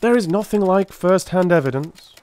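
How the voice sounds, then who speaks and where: A man voicing a brisk, self-assured detective who lectures precisely and emphatically, in a dramatised Victorian audiobook. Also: high-pitched, expressive, a little mellow, pitched above the performer's own voice. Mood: confident, animated.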